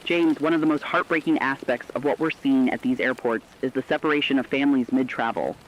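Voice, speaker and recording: Man acting as a radio drama co-anchor, earnest, compassionate, solemn, medium-high pitch, muffled lo-fi sound with faint noise. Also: fairly quick, lightly acted. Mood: solemn, sorrowful. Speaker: news co-anchor. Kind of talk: scripted breaking radio news commentary.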